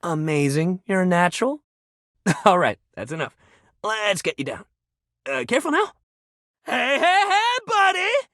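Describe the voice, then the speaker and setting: An actor playing an eager, upbeat sports-loving gym buddy in a video game, talking like a bro-ish coach who is anxious to please. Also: male voice, sits high, huge pitch swings, a little slow, long pauses, bright, forceful tone, no echo, clean studio sound, heavily acted. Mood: warm, encouraging, then hyped up. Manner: bro-ish, encouraging coach talk with casual slang. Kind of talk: animated video game voice acting.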